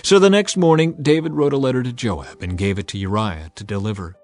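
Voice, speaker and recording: Deep-voiced male narrator over music. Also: brisk, a little mellow. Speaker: audiobook narrator. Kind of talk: dramatized scripted narration of scripture.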